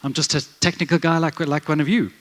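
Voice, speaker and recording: A man's voice: on the high side, steady tone, fast, fairly bright tone. A middle-aged man with a South African accent giving a chatty, self-deprecating conference talk on stage, full of fillers and restarts. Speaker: conference speaker, developer advocate. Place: large converted warehouse venue.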